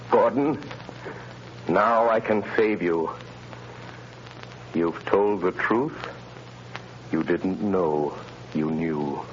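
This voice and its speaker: A calm gentleman detective. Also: low voice. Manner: calm, measured, reassuring. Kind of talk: 1930s radio drama acting. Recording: dull, faintly hissy 1930s radio transcription.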